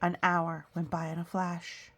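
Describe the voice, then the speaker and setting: Woman storyteller, lowish voice, slow read-aloud, animated delivery, explanatory asides for children. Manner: animated, explains things to young listeners. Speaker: storyteller reading a picture book aloud.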